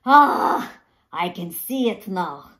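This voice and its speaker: A woman's voice: medium pitch, very animated, takes it slowly, fairly bright tone, heavily acted. A woman voicing an exasperated, dramatic old grandfather in a storybook, with theatrical exclamations and sighs.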